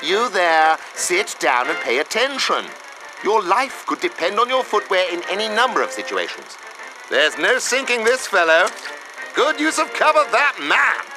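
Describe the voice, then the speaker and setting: An actor playing a pompous army training film narrator, crisp, dramatic and drily mocking, in a parody wartime style. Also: male voice, high voice, big pitch swings, unhurried, over music, low-quality recording. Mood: brisk, mocking.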